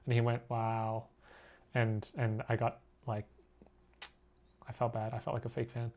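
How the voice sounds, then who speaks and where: A young man born in Korea, an artist chatting casually with viewers while he draws on a live stream, self-deprecating and quick to laugh. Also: medium pitch, completely flat delivery, lo-fi sound. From born in Korea, raised abroad. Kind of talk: casual live-stream chat reading comments.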